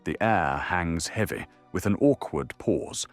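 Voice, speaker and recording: A man's voice: deep voice, lively intonation, a little slow, soft background music. A man narrating an audio drama in a dramatic, scripted storytelling style.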